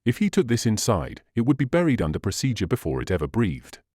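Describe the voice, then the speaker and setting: A man's voice: lowish voice, huge pitch swings, fast talker, a little mellow, no echo, clean studio sound. A man narrating crime fiction as a dramatic scripted audiobook reading.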